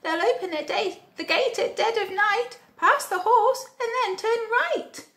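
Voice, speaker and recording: A woman's voice: very high voice, measured pace, fairly bright tone, voice pushed up, heavily acted. A woman voicing a little storybook ladybird who earnestly passes on a secret plan in careful, sing-song phrases. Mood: earnest, urgent. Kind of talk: children's storybook character voice. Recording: echoey room.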